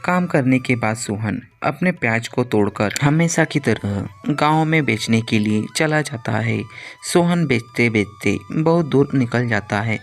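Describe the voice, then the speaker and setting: A man's voice: mid-range voice, creaky. A man narrating a scripted children's moral story.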